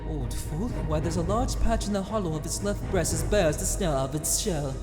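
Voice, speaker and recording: A woman voicing a small, clever male hobbit burglar who stammers nervously and flatters slyly in an audio drama. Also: low-pitched, fairly quick, natural voice. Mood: flattering. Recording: roomy hall echo with music.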